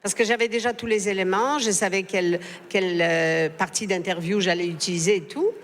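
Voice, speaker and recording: A woman's voice: medium pitch, fairly even intonation, fairly quick, slight background noise. A woman journalist on a panel, speaking hesitantly with frequent fillers; French is not her first language. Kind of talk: live panel discussion. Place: book fair stage. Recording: reverberant hall.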